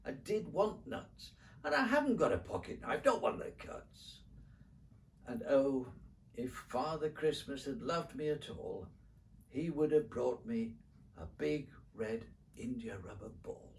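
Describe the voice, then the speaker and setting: A man's voice: mid-range voice, sing-song, takes it slowly, recorded from a distance, a little hiss or hum. A man voicing a lonely, petulant, hopeful king in a storybook poem, with pleading, emphatic, sing-song delivery. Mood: bitterly disappointed. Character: lonely, childish, petulant old-time king, hopeful and self-pitying.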